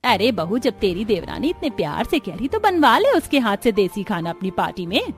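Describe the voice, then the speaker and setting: A woman's voice: medium pitch, sing-song, brisk, faint music behind. A woman playing a sensible older mother-in-law who talks in a coaxing, familiar way.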